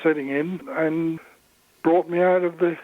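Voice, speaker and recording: A man's voice: on the high side, steady tone, unhurried, dry recording. Elderly English man, phone-like sound. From Suffolk, England. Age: 80s. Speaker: interviewee, church bell ringer. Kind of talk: recounting memories in an interview.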